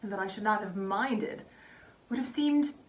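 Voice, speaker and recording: A woman playing an astonished, flustered gentlewoman who is secretly thrilled, in a dramatized novel. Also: mid-range voice, normal speed, close to an everyday voice. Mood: amazed, flustered. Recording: distant, faintly hissy.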